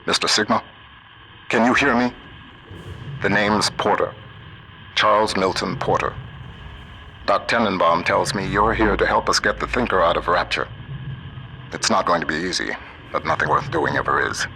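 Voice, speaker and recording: A steady older man, acting as a trusted guide in a video game. His voice is deep, earnest and plain-spoken, heard over a noisy radio link. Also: normal speed, creaky, pauses often, exaggerated voice. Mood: guarded, encouraging. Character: steady, folksy older male scientist ally guiding the hero.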